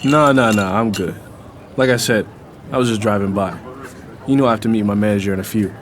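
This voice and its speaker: Actor as a low-voiced guarded boyfriend. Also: male voice, a little fast, lightly acted. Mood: guarded, dismissive. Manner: casual, curt, guarded. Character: suspicious, guarded boyfriend, a grown man. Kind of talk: dramatized audio fiction dialogue.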